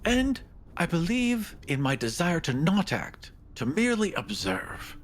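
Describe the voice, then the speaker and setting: A man voicing an earnest, idealistic small male would-be architect of fate in a fantasy roleplay, pleading in a formal, halting, hushed way. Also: on the high side, wildly swooping pitch, slight background noise, voice pushed up.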